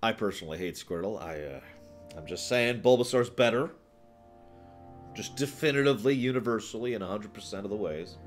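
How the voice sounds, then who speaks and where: A man's voice: on the low side, a little fast, music in the background. A man hosting a casual livestream about games, rambling and thinking aloud as he talks to his chat. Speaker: livestream host answering viewer questions.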